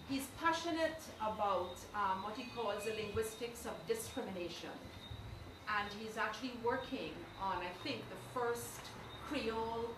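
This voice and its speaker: Distant, echoey woman hosting an event. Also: a little high. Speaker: event host introducing readers. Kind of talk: live event introductions. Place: indoor recital event room. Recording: distant mic, echoey room, loud noise.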